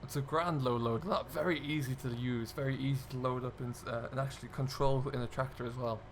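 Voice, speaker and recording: Tractor engine noise sits under a man with a mid-pitched voice. He narrates his gaming role-play casually, chatting and thinking aloud at an easygoing pace as he goes. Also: fairly even intonation, quick pace. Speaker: gaming video host.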